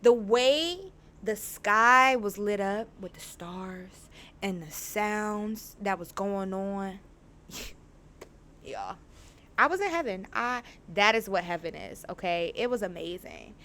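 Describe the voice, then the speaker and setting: Slightly raspy, chatty young woman. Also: mid-range voice, takes it slowly. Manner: chatty, frank, animated storytelling. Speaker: podcast host. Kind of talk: casual candid monologue.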